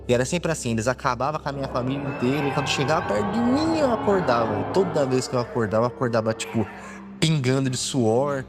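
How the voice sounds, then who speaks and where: A man's voice: mid-range voice, animated, quick pace. A man in his twenties with a Brazilian accent telling a personal story informally, recorded on a phone, with reverb and music. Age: 20s. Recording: cleaned-up phone audio, long reverb.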